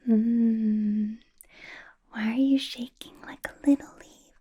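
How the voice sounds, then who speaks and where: A woman's voice: fairly high pitch, steady tone, takes it slowly, dark tone, breathy edge, full character voice. A woman whispering as a centuries-old female vampire boss, teasing and possessive, in an ASMR roleplay. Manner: whispered throughout, teasing, menacing, playful laughs.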